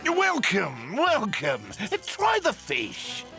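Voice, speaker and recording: Pompous, gravelly penguin gentleman villain. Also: high voice, huge pitch swings, extremely slow, music in the background, exaggerated voice. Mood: grandiose, oily. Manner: pompous, ornate diction.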